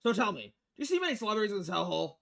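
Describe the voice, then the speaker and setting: A man's voice: sits high, very animated, rapid-fire, gravelly, a bit bright, pitched above the performer's own voice, heavily acted. A man voicing a crass, cynical, money-loving newspaper editor in a visual novel, brash and foul-mouthed in manner. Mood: gruff.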